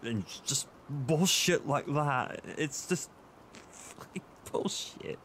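A man playing an insecure, tearful boyfriend who seeks comfort in an intimate audio roleplay, speaking in a halting, stammering way. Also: medium pitch, vocal fry, slight background noise. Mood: upset, frustrated. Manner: halting, stammering, with nervous soft laughs. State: emotional, tearful.

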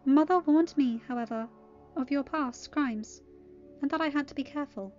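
A woman voicing a gentle, dutiful young princess in a story, loyal and earnest, with soft-hearted pleading. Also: high voice, moderate pace, voice pushed up, lightly acted. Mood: fond. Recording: muffled lo-fi audio with music.

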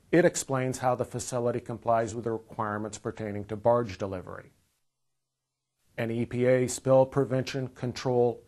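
A man in his fifties with an American accent presents scripted training material in a measured, explanatory way. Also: mid-range voice, a little mellow. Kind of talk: scripted instructional narration. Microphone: lapel mic.

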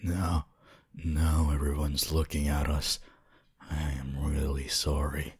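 A man voicing a shy, gentle, apologetic young wolf student in an ASMR roleplay, hesitant, stammering and soft-spoken. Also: a bass voice, little pitch movement, takes it slowly, breathy edge, heavily acted. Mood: embarrassed, apologetic.

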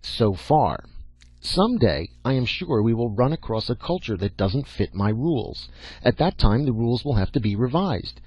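A man's voice: medium pitch, very animated, moderate pace, a little mellow, slightly rough, no echo, voice pushed up. A man voicing a crusty old professor in an audiobook, lecturing bluntly and with emphasis. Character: old, crusty, commanding male professor and mentor. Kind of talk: audiobook character acting. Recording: dull lo-fi audio.

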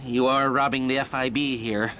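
Man playing bright-voiced, nervy criminal mastermind. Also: medium pitch, slight background noise, lightly acted. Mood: businesslike, wry. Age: middle-aged. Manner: halting, chuckling, excitable explainer. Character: nervy, sarcastic middle-aged male criminal heist planner. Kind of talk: video game cutscene acting.

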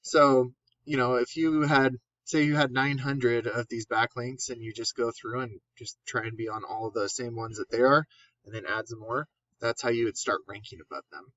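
A man's voice: mid-range voice, steady tone, moderate pace, a bit bright, dry recording, highs cut off. Casual man walking through a screen share. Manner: casual, many fillers and restarts. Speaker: SEO marketer.

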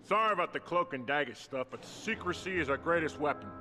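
Man acting as a pompous higher-pitched vigilante leader. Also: expressive, fast talker, over music, close to an everyday voice. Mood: self-important. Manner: pompous, mock-formal, military-style briefing. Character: bossy, self-important vigilante leader, adult man. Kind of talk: video game cutscene acting.

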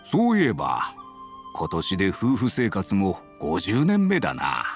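A man playing a sentimental elderly village husband in an anime game, gently reminiscing. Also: sits low, huge pitch swings, a little slow, gravelly, soft background music, heavily acted. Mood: fond, nostalgic. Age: older. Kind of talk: Japanese anime game voice acting.

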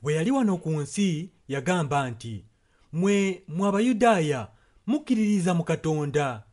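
A Ugandan man serves as a sermon interpreter. His voice sits a little high for a man, and he delivers the preaching in short translated passages. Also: lively intonation, normal speed, darkish tone.

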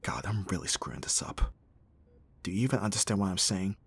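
A man playing a gruff but tender bodyguard in an ASMR roleplay, speaking in a husky, breathy, halting way with guarded emotion. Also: deep voice, expressive, exaggerated voice. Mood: tender confession.

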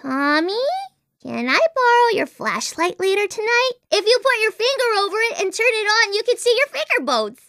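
A woman's voice: very high voice, very animated, normal speed, fairly bright tone, dry recording, studio quality, full character voice. A woman voicing an imaginative, chatty little girl in a video game, with a whimsical, earnest, childlike way of wondering aloud. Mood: curious, wondering. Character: imaginative, chatty little girl, dreamy about unicorns and princesses. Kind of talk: animated video game character voice acting.

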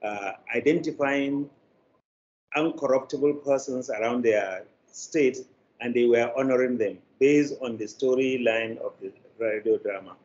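An older man with a Nigerian accent giving deliberate, formally worded interview answers with frequent pauses. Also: medium pitch, a little mellow. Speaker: interview guest, media producer. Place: plain indoor room, video call. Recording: dull, noisy video call.

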